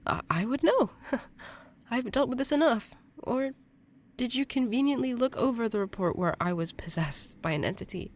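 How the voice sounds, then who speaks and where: A woman playing a defensive, stubborn young occultist in an audio drama, speaking informally in halting challenges. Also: fairly high pitch, lively intonation.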